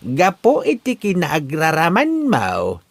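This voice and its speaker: A man playing an expressive older village father in a comedy drama. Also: fairly high pitch, slow pace, gravelly, no echo, exaggerated voice. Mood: animated scolding. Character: lively, caring older father, village neighbor. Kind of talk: amateur Ilocano comedy drama acting.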